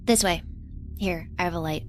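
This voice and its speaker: A woman's voice: on the low side, normal speed, a little mellow, slight background noise, natural voice. A woman playing an earnest, easily indignant young intern in a science fiction audio drama, with informal, emphatic, youthful phrasing. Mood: curious. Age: young adult. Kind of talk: naturalistic audio drama acting. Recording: audio drama with clear background ambience.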